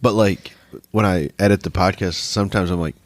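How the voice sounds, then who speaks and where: A man in his thirties co-hosting a podcast in casual banter, with a deep, congested voice and a dry, joking manner. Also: steady tone, no room sound. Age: 30s. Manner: dry joking, quick asides. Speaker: podcast co-host.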